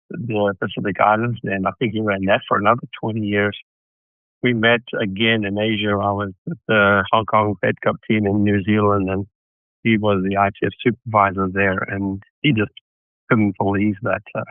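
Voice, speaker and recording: Guy from south africa telling tennis stories, low voice, thin phone-line sound, dry. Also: no echo. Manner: rambling storyteller with restarts. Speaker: podcast guest, tennis coach. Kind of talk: casual interview answers.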